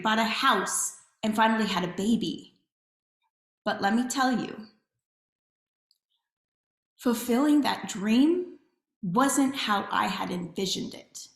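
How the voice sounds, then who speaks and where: A woman's voice: on the high side, vocal fry. Candid woman telling her story, echoey room. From Chile. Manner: candid, reflective, many "um" and "right?". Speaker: podcast guest, community builder. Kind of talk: personal storytelling interview.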